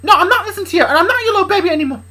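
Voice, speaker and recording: Brash, hot-tempered daughter for a told story, acted by a woman: high, animated, insistent, shrill. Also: very fast, slightly rough, exaggerated voice. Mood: angry.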